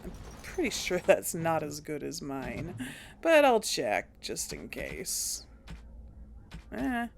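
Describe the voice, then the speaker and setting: Woman let's-play host, lively pitch, unhurried, thinks aloud with chuckles. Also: vocal fry, slight background noise. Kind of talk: casual gameplay commentary.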